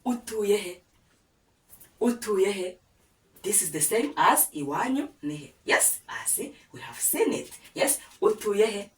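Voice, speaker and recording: A woman's voice: mid-range voice, lively intonation, unhurried. A woman with a Rwandan accent teaching a language lesson, coaxing listeners to repeat with many prompts. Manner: patient, coaxing, many "yes?" and "aha" prompts. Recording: echoey room, voice a bit far from the mic.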